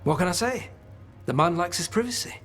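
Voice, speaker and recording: Wry shady informant in a game, swingy pitch, always bargaining. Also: male voice, animated, fairly quick, fairly bright tone, soft background music. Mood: sly, conspiratorial. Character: wry, scheming male criminal gang informant. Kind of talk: video game acting.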